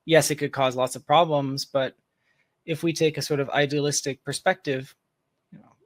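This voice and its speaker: A man's voice: on the high side, fairly even intonation, normal speed, no echo. Reflective man thinking aloud in dry sound. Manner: reflective, hedging, reasons aloud in long asides. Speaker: podcast guest, tech founder. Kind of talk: casual speculative discussion.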